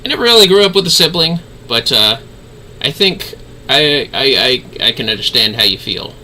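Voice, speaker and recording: Male game streamer, very bright lively voice, easygoing and chatty, slight background noise. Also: medium pitch, normal speed, rough recording. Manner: easygoing, chatty, calls viewers fellas. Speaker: livestreaming gamer host. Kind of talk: casual gaming livestream chat.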